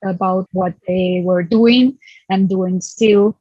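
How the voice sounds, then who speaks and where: A woman's voice: mid-range voice, fairly even intonation, takes it slowly, dark tone, dry recording. A woman from Ukraine with a Ukrainian accent, speaking as an artist in a documentary interview on a muffled recording. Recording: dull, lo-fi, very dry sound.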